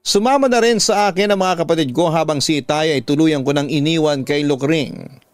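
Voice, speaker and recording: A man's voice: a little high, a little fast, dry recording. A man with a Filipino accent, a radio drama host reading out a listener's letter as a dramatic story and giving advice.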